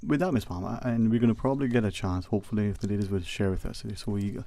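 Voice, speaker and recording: A man's voice: on the low side, steady tone, fast. A man hosting a radio talk show, chatting casually in a rambling way with guests in the studio. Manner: rambling, restarts phrases, warm banter. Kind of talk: casual interview chat.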